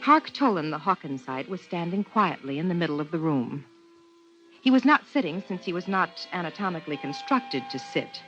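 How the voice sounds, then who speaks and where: Dull 1950s radio recording, earnest married woman scientist, persuasive, quietly stubborn, faint music behind. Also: on the low side, animated, normal speed. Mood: measured narration. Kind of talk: 1950s radio drama acting and narration.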